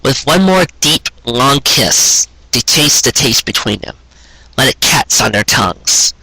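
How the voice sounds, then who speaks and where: A man giving an expressive, earnest reading in the manner of a storyteller, with dramatic phrasing. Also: mid-range voice, measured pace, gravelly, slightly rough, no room sound, low-quality recording. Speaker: fan fiction reader and show host.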